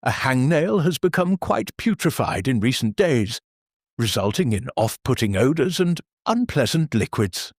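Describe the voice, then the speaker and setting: An actor playing a stiff, rule-bound male church minister who reads announcements in a formal, deadpan, bureaucratic way. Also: mid-range voice, slightly rough, no room sound, clean studio sound. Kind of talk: satirical audio drama.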